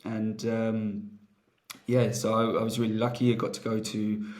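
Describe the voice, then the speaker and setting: A man, a rugby coach, answering interview questions in a relaxed, rambling way, with many fillers and restarts. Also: on the low side, normal speed. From Wales.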